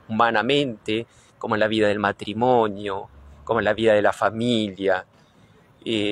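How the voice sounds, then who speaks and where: A middle-aged Argentine man, a priest being interviewed, speaking reflectively and earnestly, often searching for his words. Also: medium pitch. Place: outdoors in front of a church. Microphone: handheld reporter microphone.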